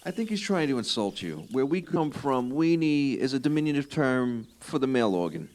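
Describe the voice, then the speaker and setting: A man's voice: medium pitch, very animated, normal speed, darkish tone. An actor playing a nerdy teenage boy who asks earnest, curious questions. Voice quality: earnest and inquisitive. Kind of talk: audio drama acting.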